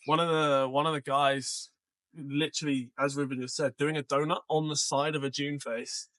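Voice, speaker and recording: A man co-hosting a podcast, chatting casually and telling stories in a rambling, animated way. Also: a little high, moderate pace, no room sound. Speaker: podcast co-host. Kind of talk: casual podcast chat about off-road adventures.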